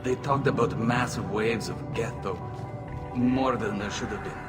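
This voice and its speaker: A man's voice: fairly low pitch, measured pace, close to an everyday voice. A man playing a polite, awkward alien junior soldier in a video game, hesitant and deferential. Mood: uneasy, helpful. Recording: long hall reverb, loud music behind.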